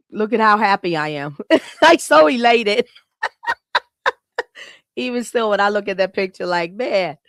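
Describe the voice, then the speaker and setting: A woman's voice: medium pitch, expressive, slow pace, long pauses, a bit bright, no echo, clean studio sound. A woman from Chicago co-hosting a live podcast, talking casually and reading a heartfelt written tribute aloud. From Chicago, United States. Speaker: podcast co-host.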